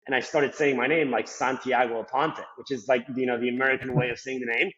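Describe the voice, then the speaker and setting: Fast-talking young man with a Colombian accent. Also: medium pitch, little pitch movement, a bit bright, husky. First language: Spanish. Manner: rambling, heavy on "like" and "you know" fillers. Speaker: podcast guest. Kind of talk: casual interview talk. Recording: slightly dull lo-fi sound.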